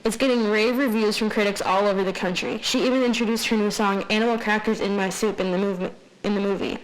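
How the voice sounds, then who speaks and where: A young woman with a medium-pitched voice reads a prepared radio segment aloud. She speaks as the presenter, addressing listeners. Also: fairly even intonation, quick pace, low-quality recording. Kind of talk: scripted radio report, read aloud.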